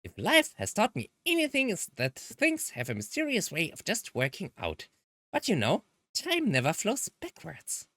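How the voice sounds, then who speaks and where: A woman's voice: medium pitch, huge pitch swings, moderate pace, a bit bright, dry recording, voice pushed up. A woman voicing a breezy, cryptic and sinister young woman from a visual novel, teasing in a sing-song cheer. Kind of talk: visual novel character dubbing.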